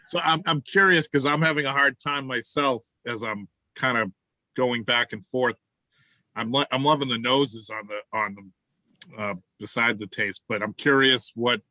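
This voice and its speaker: A man's voice: mid-range voice, fairly even intonation, moderate pace, bright, forceful tone, no echo. An easygoing man moderating a virtual tasting, putting questions to the group on a video call.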